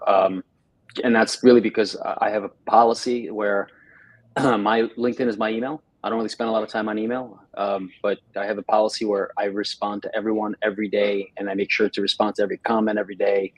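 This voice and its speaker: Low-voiced man, flat delivery. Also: no room sound, low-quality recording. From Russia. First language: Russian. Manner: halting, many fillers and restarts. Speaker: guest. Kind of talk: casual interview chat. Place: home studio, live stream.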